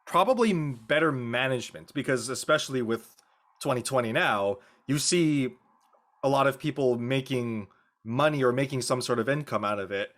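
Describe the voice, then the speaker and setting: A man speaking as an actor on a casual panel, earnest and deliberate in how he explains his thoughts. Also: medium pitch, a bit bright.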